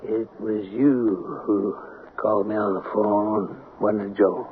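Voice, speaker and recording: Low-voiced, folksy, shrewd investigator. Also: male voice, fairly even intonation, slow. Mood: persuasive. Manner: plain-spoken, folksy. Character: folksy, shrewd male small-town investigator, calmly pressuring. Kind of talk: old-time radio drama acting. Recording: tinny, noisy old radio broadcast.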